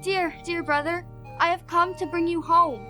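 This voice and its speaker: A woman's voice: extremely high pitch, fairly even intonation, moderate pace, pushed and bright. A woman playing an affectionate young sister in a radio drama, eager, sweet and effusive. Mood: joyful, loving. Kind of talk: old-time radio drama acting. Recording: music behind.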